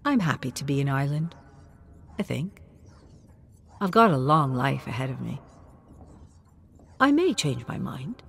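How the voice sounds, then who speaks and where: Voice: medium pitch, big pitch swings, a little slow, creaky, pauses often, fairly bright tone, a little hiss or hum. A narrator reading a scripted story in a dramatic way.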